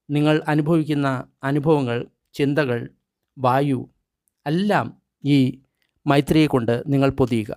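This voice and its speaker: Higher-voiced man guiding a meditation. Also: a little mellow, no room sound, studio quality. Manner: measured, instructional, short phrases with pauses. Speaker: meditation guide. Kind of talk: guided meditation, read from a translated script.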